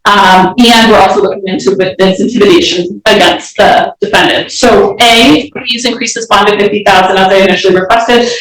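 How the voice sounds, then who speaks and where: A woman's voice: medium pitch, fairly even intonation, brisk, hardly any pauses, fairly bright tone. A woman prosecutor making a formal, methodical argument to a judge in a courtroom. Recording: very echoey courtroom, distant mic.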